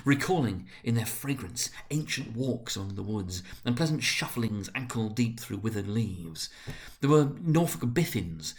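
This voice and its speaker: A man reading classic prose aloud as a storyteller, in an expressive, deliberate, dramatic narrating manner. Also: lowish voice. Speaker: narrator, reader.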